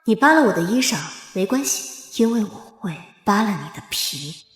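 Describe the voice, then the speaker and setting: A woman voicing a coolly calculating, vengeful young noblewoman in a period comic drama, composed, measured and formal. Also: mid-range voice, animated, echoey room, long reverb tail, faint music behind, natural voice.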